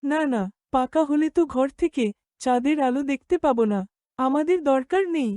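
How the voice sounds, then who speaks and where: Woman voicing a high, soft-toned earnest young boy. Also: moderate pace, no room sound, clean studio sound, close to an everyday voice. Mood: content. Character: kind, contented young goatherd boy. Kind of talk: cartoon dialogue.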